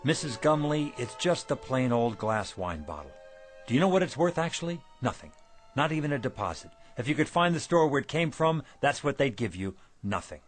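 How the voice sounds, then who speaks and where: Tired kindhearted broke shopkeeper in a radio play, very up and down delivery, faint music. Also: male voice, medium pitch, very animated, fairly quick. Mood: weary, blunt. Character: weary, kindly, debt-ridden male antique shop owner. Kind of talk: radio drama acting.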